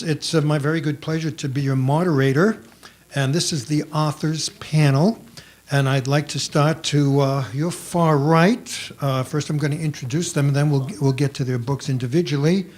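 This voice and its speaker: A man's voice: a little high, moderate pace. A man moderating a panel with courteous, formal introductions, recorded live at a convention.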